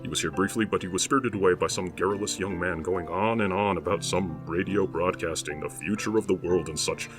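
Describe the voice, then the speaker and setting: A man voices a genteel 1920s gentleman, courtly and long-winded in his phrasing. His voice is very deep, and music plays clearly behind him. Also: a little fast, few pauses, lightly acted. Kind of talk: 1920s period audio drama.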